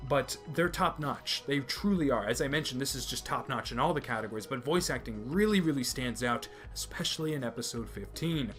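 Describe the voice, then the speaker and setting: A man's voice: medium pitch, lively intonation, a little fast, hardly any pauses, fairly bright tone, soft background music. A young man from America hosting an anime review video, emphatic and opinionated, often repeating his points. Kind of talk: enthusiastic review commentary.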